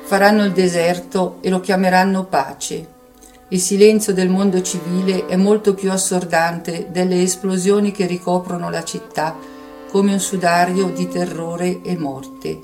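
A woman's voice: lowish voice, fairly even intonation, measured pace, a little mellow. A woman giving a literary reading of a first-person diary. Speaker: reader. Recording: faint music behind the voice. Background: music.

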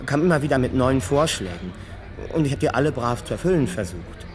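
An actor playing a former radio director looking back candidly and self-deprecatingly in a mock-documentary interview. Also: male voice, medium pitch, lively intonation, fairly quick, close to an everyday voice. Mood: rueful, candid.

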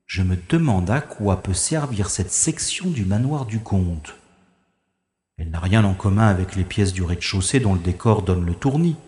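A man playing a cautious, wry infiltrator who thinks aloud in a deliberate, dramatic monologue from an audio science-fiction adventure. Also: sits low, animated, a little mellow, reverberant. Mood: curious, wary. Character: cautious, wry male infiltrator narrating his secret mission.

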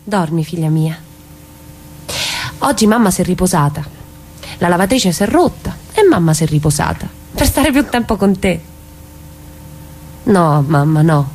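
A woman's voice: fairly low pitch, animated, unhurried, pauses often, a little mellow, slight background noise, low-quality recording. A woman playing a dreamy, tender young woman in an intimate, naturalistic radio drama reading. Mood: tender, soothing. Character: Lea, dreamy, tender young southern Italian woman, sister and mother.

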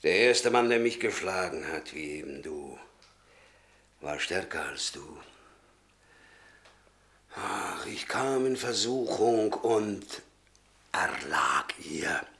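Slow, fervent, bright-voiced zealot. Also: male voice, sits low, animated, heavily acted. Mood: solemn, restrained. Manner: fervent, solemn, preacherly, deliberate. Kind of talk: classic German radio drama acting.

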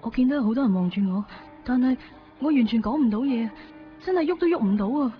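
A woman's voice: fairly high pitch, animated, quick pace, close to an everyday voice. An actress playing a devoted, sentimental young woman in a Cantonese radio drama, earnest and emotional, with a Hong Kong accent. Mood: shaken, reflective. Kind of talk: 1990s Cantonese radio drama acting with first-person narration. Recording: distant, dull radio recording with music.

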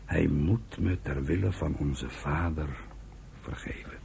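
A weary old uncle in a radio play. His voice is extremely low and full of gravel, and he speaks slowly and heavily, with wistful longing. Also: a little mellow, a little hiss or hum, full character voice. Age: older. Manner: slow, heavy, halting delivery. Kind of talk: radio drama acting.

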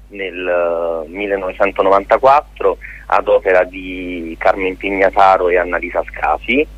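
Low, husky man in a radio interview. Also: fairly bright tone, dry recording, muffled recording. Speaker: interview guest, theatre festival organiser. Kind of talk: informal radio interview, explaining.

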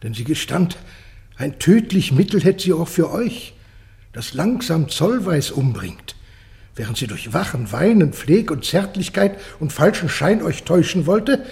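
Grave court messenger, higher-pitched creaky voice, dramatic, deliberate, classical verse radio drama. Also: male voice, sing-song, darkish tone, exaggerated voice. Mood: grave, horrified. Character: grave male court messenger bearing terrible news.

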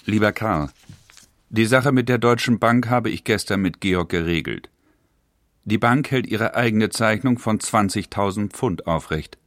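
An actor reading the letters of a cautious older industrialist brother, measured and worried. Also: male voice, lowish voice, fairly bright tone, no echo, clean studio sound. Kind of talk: reading historical letters in a radio feature.

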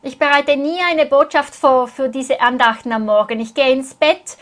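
A woman's voice: high-pitched, steady tone, moderate pace, fairly bright tone. A woman interpreting a sermon phrase by phrase, speaking earnestly and closely following the preacher.

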